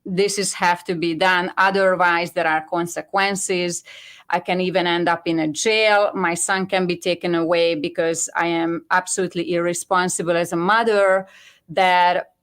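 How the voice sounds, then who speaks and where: A Hungarian woman speaking English with a Hungarian accent, giving an earnest, halting personal testimony as a guest. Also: on the low side, level tone, fairly bright tone. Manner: earnest, halting non-native phrasing. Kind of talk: personal testimony on a talk show.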